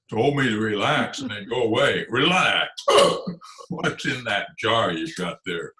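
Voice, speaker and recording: A gruff, exasperated middle-aged principal, acted by a man in an old radio comedy. He speaks very slowly in a sharp, forceful voice with noticeable room echo. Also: on the low side, expressive, heavily acted. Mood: grumpy, miserable. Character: grumpy, suffering middle-aged male school principal with hiccups. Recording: echoey room over remote call.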